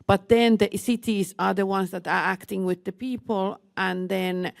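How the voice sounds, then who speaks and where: Woman on a panel, kinda gravelly, dry mic, lots of uhs. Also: mid-range voice, steady tone, no room sound. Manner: halting, many fillers and restarts. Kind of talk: panel discussion.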